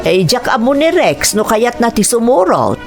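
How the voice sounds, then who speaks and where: A woman's voice: a little high, big pitch swings, normal speed, soft background music. A woman playing a humble, kindly middle-aged mother in an Ilocano radio drama, with an Ilocano accent. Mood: kindly, proud.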